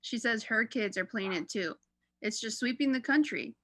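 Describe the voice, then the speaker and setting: A woman's voice: fairly high pitch, animated, moderate pace, a bit bright, dry recording. A young woman playing a breezy suburban mother chatting and gossiping on the telephone in a radio play. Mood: breezy, chatty. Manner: bubbly, gossipy.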